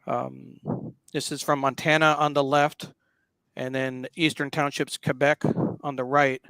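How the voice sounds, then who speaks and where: A man hosting a casual live talk show, explaining what he is showing in a halting, explanatory way. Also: mid-range voice, little pitch movement, gravelly, sharp tone, clear, resonant tone, dry recording. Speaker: show host. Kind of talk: casual live talk walking through a newspaper.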